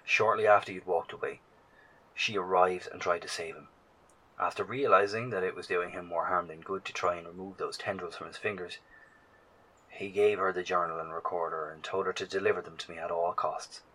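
Guy acting earnest shaken survivor in audio drama, really deep n husky, formal but keeps stumbling. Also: fairly even intonation, bright, forceful tone, poor audio. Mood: solemn. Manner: halting, formal wording, emphatic. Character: earnest, shaken male survivor and researcher, awed and cautious.

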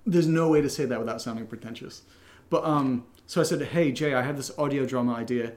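A man's voice: mid-range voice, fairly quick, darkish tone. An American man from Connecticut co-hosting a podcast, answering questions in casual, irreverent, wisecracking chat. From Connecticut, United States. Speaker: podcast co-host and audio drama writer.

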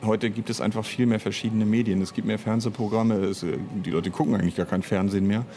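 A man chats as a guest in a casual interview. His voice is fairly low and he explains things reflectively, feeling his way through phrases, with faint noise in the recording. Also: steady tone, fast, mellow. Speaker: interview guest, audio drama writer and producer.

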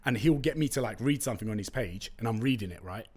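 A man's voice: lowish voice, quick pace, slightly rough. A young man from East London with a London accent, a Turkish native speaker, hosting a casual solo podcast in a chatty, slangy, joking way. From East London, England. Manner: chatty and animated, slangy, jokey asides. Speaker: podcast host.

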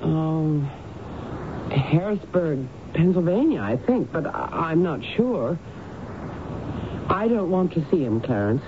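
An actress playing an anxious, reluctant wife in a radio drama, troubled and halting in her speech. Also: deep voice, expressive. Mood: hesitant, uneasy. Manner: hesitant, halting. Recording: tinny, noisy vintage radio broadcast.

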